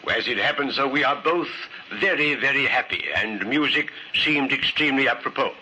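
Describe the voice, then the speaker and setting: An actor in an old radio drama playing a proud, gallant suitor, formal and emphatic in manner. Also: male voice, medium pitch, expressive, brisk, poor audio. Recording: hissy, sharp old radio recording.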